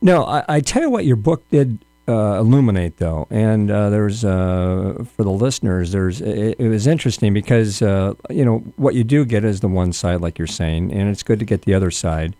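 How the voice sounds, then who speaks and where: A man's voice: fairly low pitch, lively intonation, normal speed, few pauses, dark tone, no room sound. A man hosting an interview, asking long, rambling questions full of fillers and restarts. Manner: rambling, hesitant, many fillers. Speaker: radio interview host. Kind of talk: conversational interview.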